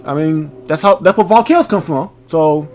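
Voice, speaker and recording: A man's voice: on the high side, moderate pace, a little mellow. A man hosting a game playthrough, commenting casually and reading text aloud, informal and prone to stumbling over words. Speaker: gaming video host.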